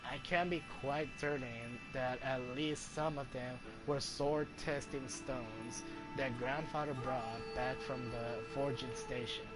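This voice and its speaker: A man's voice: mid-range voice, rough recording. Male game streamer reading over music. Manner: halting reading with stumbles and self-corrections. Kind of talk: live stream, reading game text aloud.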